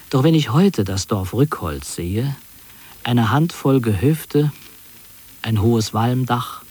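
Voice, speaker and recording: A man playing a reflective narrator who recalls his wartime youth in measured, literary radio play narration. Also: mid-range voice, a little mellow, slightly rough. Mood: pensive. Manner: measured, reflective, carefully phrased. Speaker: autobiographical narrator.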